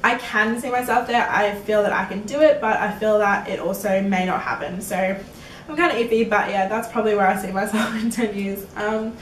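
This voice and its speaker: A woman's voice: medium pitch, echoey room, distant mic, a little hiss or hum, low-quality recording. An eighteen-year-old woman answering viewer questions in a casual beauty vlog, chatty and giggly, with lots of ums and likes. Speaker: beauty vlogger.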